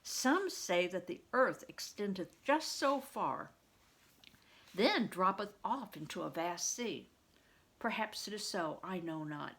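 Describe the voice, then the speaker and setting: A kindly old-world monk, as a woman reader plays him, patient and instructive. The storybook voice is gravelly and measured, with archaic turns of phrase. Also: medium pitch, lively intonation, fairly bright tone, full character voice. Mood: thoughtful.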